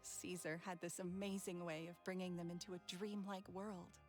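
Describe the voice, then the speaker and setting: An actress playing a gentle, sentimental widow reminiscing wistfully in a dramatic video game. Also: female voice, lowish voice, flat delivery, normal speed, faint music behind, lightly acted.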